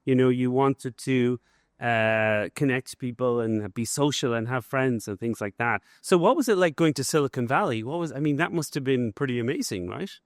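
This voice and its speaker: A man's voice: mid-range voice, moderate pace, dry recording, studio quality. A man hosting a podcast interview at a conference, chatty and enthusiastic, with many fillers and restarts. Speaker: host, business school professor. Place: conference event.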